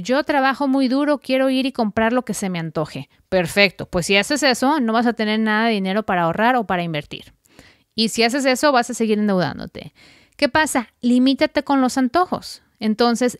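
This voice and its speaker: Chatty woman, high dry voice. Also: no room sound. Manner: friendly, chatty, direct second-person tips. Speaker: podcast host. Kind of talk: casual advice podcast monologue.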